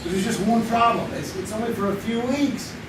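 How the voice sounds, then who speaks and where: A man's voice: very high voice, brisk, background noise. A man playing a broke young schemer. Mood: wry. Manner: wry, joking, easygoing. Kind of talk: 1950s-style radio drama acted live on stage. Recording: echoey theater sound from a distant mic.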